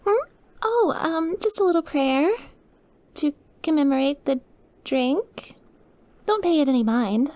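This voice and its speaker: A soft, fairly high young female voice performed by a woman actor for an intimate ASMR roleplay. She is a sweet, awkward, terminally ill young woman with an obsessive streak. Her delivery is hesitant and wistful, given to gushing and to breathy murmurs. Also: expressive, a little slow, pauses often. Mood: shy, nervous. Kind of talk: ASMR audio roleplay, intimate one-sided dialogue.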